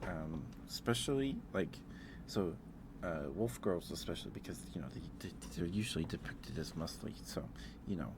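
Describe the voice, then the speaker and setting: Male ASMR streamer, low voice, halting and rambling casual chat, clear noise in the recording. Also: expressive. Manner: hesitant, rambling, often restarting mid-thought; chatting back to live viewers. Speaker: livestream host.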